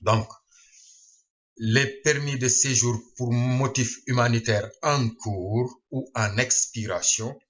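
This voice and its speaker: Lowish voiced guy reading out a news bulletin real slow, choppy phrases, kinda muffled recording. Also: a bit bright. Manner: halting, reading in short broken phrases. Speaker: reader and translator of a news bulletin. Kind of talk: scripted news explainer, read aloud.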